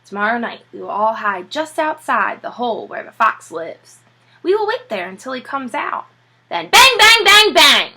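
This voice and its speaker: A woman's voice: a little high, very animated, moderate pace, pushed and bright, full character voice. A woman voicing a crafty, bossy male farmer, a scheming storybook villain who speaks curtly and emphatically. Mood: bossy, gleeful.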